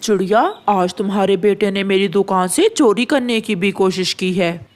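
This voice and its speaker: A woman's voice: mid-range voice, normal speed, darkish tone, natural voice. A woman voicing a stern adult male pigeon shopkeeper in a children's cartoon, curt and accusing.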